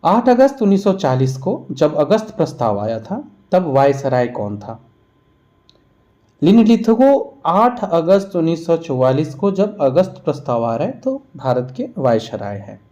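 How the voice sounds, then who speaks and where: A man's voice: on the high side, sing-song, unhurried, warm tone, highs cut off. A man with an Indian accent teaching an exam revision lesson, posing questions and then answering them in an instructional way. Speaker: history teacher.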